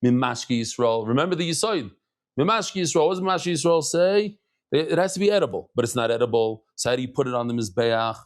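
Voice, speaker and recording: A middle-aged man teaching a casual daily Talmud class in a lively, chatty way, mixing in Hebrew and Yiddish terms. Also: mid-range voice, quick pace.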